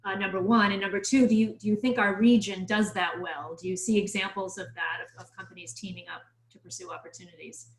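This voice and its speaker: A woman moderating a webinar over a video call, asking long questions with halting restarts. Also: medium pitch, fairly even intonation, slight background noise. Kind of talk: moderating a panel. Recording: echoey room.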